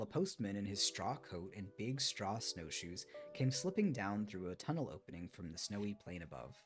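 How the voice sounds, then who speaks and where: A man's voice: lowish voice, a little mellow. A man on a livestream, moving between reading a book aloud and chatting casually with listeners. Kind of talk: read-aloud storytelling mixed with casual chat on a livestream.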